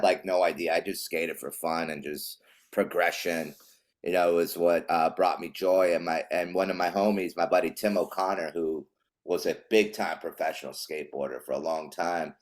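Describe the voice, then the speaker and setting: A man's voice: deep voice, steady tone, normal speed, a little mellow. A man from Chile, a podcast guest, telling stories in an easygoing, rambling way. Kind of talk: casual interview chat.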